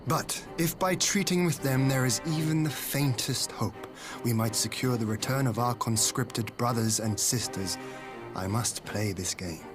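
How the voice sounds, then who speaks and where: A man playing a composed, duty-burdened young lord in a video game, speaking in a measured, grave way. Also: medium pitch, lightly acted. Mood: resolute, weary. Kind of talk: dramatic video game cutscene acting. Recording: prominent music behind voice.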